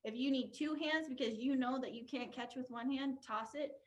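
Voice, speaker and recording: A woman's voice: sits high, moderate pace, a little mellow, dull audio. A young woman teaching an online drama class for kids, encouraging and upbeat as she guides a pretend game over a video call. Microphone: computer on a video call.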